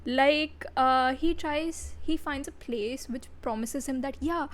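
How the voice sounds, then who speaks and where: Woman VTuber, high voice, casual livestream reviewer, blunt, profane, giggly. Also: takes it slowly.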